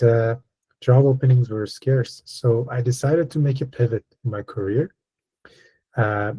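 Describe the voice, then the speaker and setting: Man from Iran, mellow voice. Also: medium pitch, slightly rough, poor audio. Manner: measured, thoughtful, frequent uh and um fillers. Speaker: guest speaker. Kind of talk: personal story in a webinar talk.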